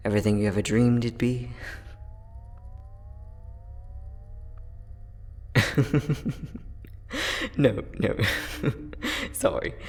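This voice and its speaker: Man playing a low, gravelly, friendly young-hearted inventor. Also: steady tone, slow. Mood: playful, teasing. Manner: soft-spoken, chatty, playful, with frequent chuckles. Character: friendly, teasing male time-traveling inventor in a lab. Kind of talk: ASMR roleplay audio drama, addressing the listener.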